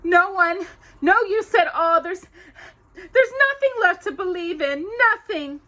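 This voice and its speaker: A woman's voice: very high-pitched, a little slow, bright, forceful tone, pitched above the performer's own voice, exaggerated voice. A woman voicing a sweet, heartbroken young woman in a children's storybook, full of hopelessness and disbelief. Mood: sobbing despair.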